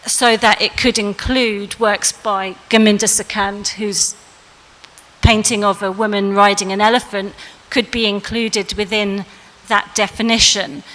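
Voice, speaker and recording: A woman giving a measured, lecturing academic talk on a panel in a large public hall. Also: mid-range voice, steady tone, fairly bright tone. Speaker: art historian speaker. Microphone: panel microphone. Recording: reverberant hall.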